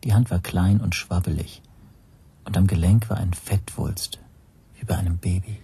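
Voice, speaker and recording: An actor playing a watchful first-person narrator in a radio drama, with a breathy, husky voice. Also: male voice, low voice, fairly even intonation, moderate pace, mellow, heavily acted. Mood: observant, tense.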